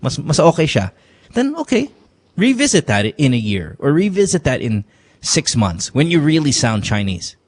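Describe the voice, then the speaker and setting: A man's voice: on the high side, huge pitch swings, moderate pace, breathy edge. Chatty guy hosting an advice call-in, bouncy up and down voice, cracks jokes, talks frank. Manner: joking, frank, mixes in Tagalog words. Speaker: radio advice show host. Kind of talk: casual call-in advice chat.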